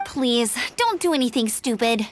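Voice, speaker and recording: An earnest young knight scout acted by a woman in a fantasy game. Her voice is high and lively in pitch, dutiful and reassuring, with faint noise behind. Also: normal speed, close to an everyday voice. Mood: firm, reassuring. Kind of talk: video game voice acting.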